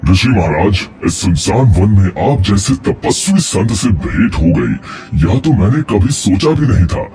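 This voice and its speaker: A man playing a credulous, reverent king in a cartoon moral story, deferential and expressive. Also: low voice, a little slow, soft background music. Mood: reverent, amazed.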